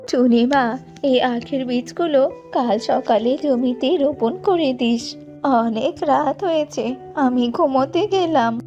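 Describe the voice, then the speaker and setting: A woman's voice: sits high, measured pace, a little mellow. A woman voicing a kindly, comforting old grandmother in a cartoon, with music playing behind her. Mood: gentle instructing. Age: older. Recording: slightly dull audio with music behind.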